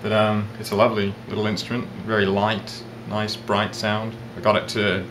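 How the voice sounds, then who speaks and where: Male interview guest, mixed South African-English-Australian accent, reflective and halting, recorded in a noisy, roomy space. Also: lowish voice. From South Africa, with years in England and Australia. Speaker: interview guest, ukulele player and magazine editor. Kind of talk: casual interview chat. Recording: roomy echo, steady background noise.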